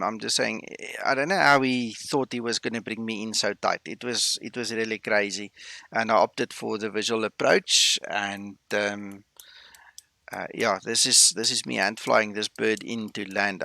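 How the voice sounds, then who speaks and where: A man from South Africa, whose first language is Afrikaans, talks to his stream viewers in a relaxed, rambling way. His voice is low and gravelly, with a bright, forward edge. The close mic sounds dry. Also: no room sound. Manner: chatty, greets viewers, many fillers. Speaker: flight sim streamer. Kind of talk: casual live-stream chat.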